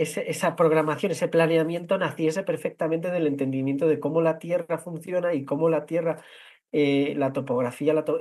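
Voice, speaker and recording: A man from Spain with a Castilian accent moderates an online talk. His voice sits a little high for a man. He speaks off the cuff in long, halting sentences, repeating and reshaping his phrases. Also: a little mellow. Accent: Castilian Spanish. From La Mancha, Spain. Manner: discursive, halting, repeats and rephrases. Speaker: host introducing architecture award winners.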